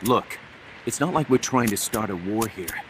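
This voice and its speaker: An actor playing an earnest, energetic young male mercenary business partner in a video game. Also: lowish voice, moderate pace, noisy background, close to an everyday voice. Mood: persuasive.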